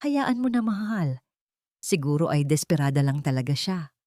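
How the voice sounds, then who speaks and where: A woman's voice: low voice, very animated, normal speed, dark tone, no echo, clean studio sound. A woman voicing a scheming young fiancée in a romance story, soothing with feigned sweet concern. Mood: falsely sweet.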